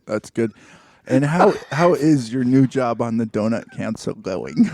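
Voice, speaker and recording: A man's voice: medium pitch, animated, a little slow, creaky, darkish tone, no room sound. A man co-hosting a casual chat, joking and teasing as he talks. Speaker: podcast co-host.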